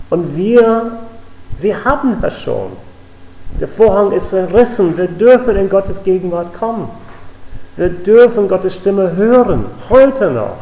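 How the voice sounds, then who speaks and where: A man's voice: a little high, a little mellow. A man from the United States preaching an earnest, emphatic sermon in a church, with repeated declarations. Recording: echoey hall, voice a bit distant.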